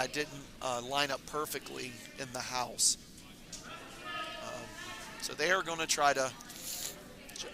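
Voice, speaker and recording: A man commentating a curling tournament stream in a casual, rambling way, his voice raspy and hoarse from talking all weekend. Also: mid-range voice. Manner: relaxed, rambling, many fillers. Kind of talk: casual live sports commentary. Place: curling club.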